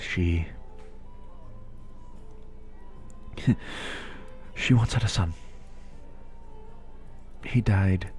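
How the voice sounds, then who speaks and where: Casting: man to play kind, bashful fantasy innkeeper for audio roleplay. Lowish, unhurried, hushed and hesitant delivery, music underneath. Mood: wistful, tender. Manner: halting, hesitant, gentle, sentimental.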